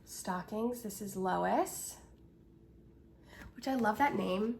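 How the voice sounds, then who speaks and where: A woman's voice: mid-range voice, takes it slowly, gravelly, darkish tone, slight background noise. A young woman co-host chatting casually and giggling, with lots of "like" and "um". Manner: chatty, giggly, says "like" and "um" often. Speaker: podcast co-host. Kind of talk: casual chat.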